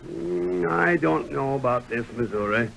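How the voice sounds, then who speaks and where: Grumbly old western miner, american, creaky voice, old radio show with some hiss. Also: male voice, medium pitch, animated, unhurried, fairly bright tone, full character voice. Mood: skeptical, grumbling. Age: older. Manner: rustic drawling Western speech. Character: skeptical old male prospector, folksy and grumbling. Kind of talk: 1930s radio acting. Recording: noisy 1930s radio recording.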